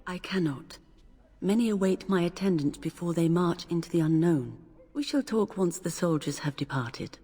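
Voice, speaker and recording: An actress playing a composed, formal noblewoman in a fantasy video game, speaking in a measured, courteous way. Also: lowish voice, animated, mellow, slightly rough, faint music behind. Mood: grave, courteous. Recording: long reverb.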